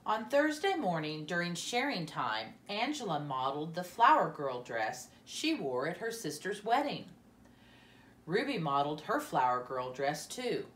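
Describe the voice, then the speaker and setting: A woman reading a children's storybook aloud in an expressive, deliberate manner, softening at the ends of dialogue. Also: on the low side, echoey room.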